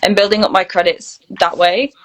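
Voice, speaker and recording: A woman's voice: medium pitch, steady tone, moderate pace. A British woman chatting informally and emphatically as a guest in an interview. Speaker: guest, voiceover actor and voice reel studio founder. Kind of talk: casual interview chat.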